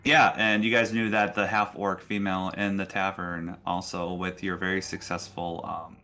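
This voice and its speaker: Bright-voiced man running a tabletop game. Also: deep voice, level tone, slight background noise. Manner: halting, rephrasing as he describes scenes. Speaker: game master. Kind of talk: narrating a tabletop game, casual.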